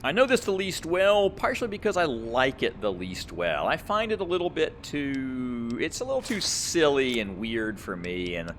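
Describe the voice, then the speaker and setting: A man's voice: medium pitch, huge pitch swings, moderate pace, hardly any pauses, fairly bright tone, slight background noise. A man giving casual, rambling gameplay commentary, thinking aloud in a conversational way as he plays. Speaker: gamer, let's play host.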